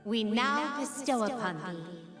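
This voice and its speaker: Mystical game spirit, ceremonial, measured, ghostly echo, clear music behind. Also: female voice, fairly high pitch, lively intonation, a bit bright. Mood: solemn. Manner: solemn, measured, incantatory. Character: solemn mystical spirit bestowing ancient power. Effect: long ghostly reverb. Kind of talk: archaic ceremonial game voice acting. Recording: long echoing reverb under music.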